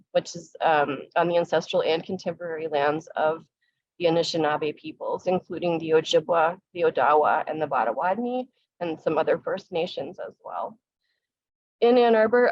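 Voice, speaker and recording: A woman's voice: on the low side, a little mellow, dry recording, highs cut off. Woman with a cold giving a talk. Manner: earnest, measured, sad but hopeful. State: recovering from a cold. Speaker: museum conservator giving a talk. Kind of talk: virtual lecture. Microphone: computer mic on a video call.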